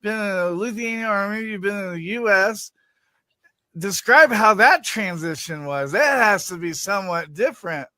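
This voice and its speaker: A man's voice: sits high, expressive, moderate pace, sharp tone, dry recording. A man hosting a casual online talk show, stammering and restarting often and swearing now and then. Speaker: show host. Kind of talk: casual podcast chat.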